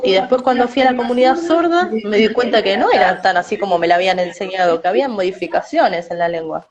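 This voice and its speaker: A woman with a Rioplatense Spanish accent giving measured interview answers, often tagging her sentences with a questioning "no?". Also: mid-range voice, muffled recording. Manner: measured, often adds "no?" tags.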